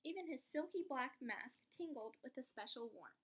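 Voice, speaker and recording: A woman's voice: sits high, animated, normal speed, a bit bright, slightly rough. A woman teacher giving a read-aloud lesson in an encouraging, instructive manner with guiding questions.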